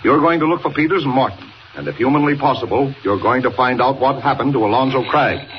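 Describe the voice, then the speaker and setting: An actor playing a brisk, urgent newspaper boss in 1940s radio style, speaking with emphatic authority. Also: male voice, mid-range voice, natural voice. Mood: grave, urgent. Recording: muffled, noisy 1940 radio recording.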